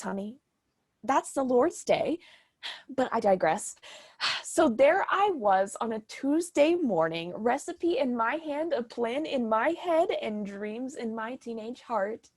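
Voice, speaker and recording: A high-voiced, slightly husky mother played by a woman, doting and bossy in a comic play. She gushes and pronounces with grand, pompous melodrama. The sound is close and dry. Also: darkish tone, dry recording. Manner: theatrical, gushing, pompous recitation. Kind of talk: stage comedy acting.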